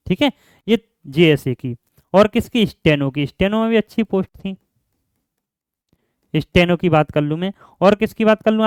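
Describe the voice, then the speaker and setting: A man's voice: high voice, clear, resonant tone, no room sound, studio quality. A man explaining like a coaching teacher, often repeating himself and answering his own questions. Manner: repetitive, asks questions and answers them himself, frequent check-in tags. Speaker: exam coaching teacher and channel host. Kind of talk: informal explainer lesson for job aspirants.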